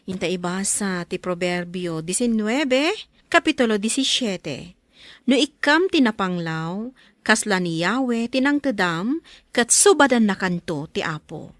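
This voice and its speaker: A woman hosting a scripted programme, presenting and reading scripture in a measured, expressive manner. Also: mid-range voice, dry recording. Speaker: programme host.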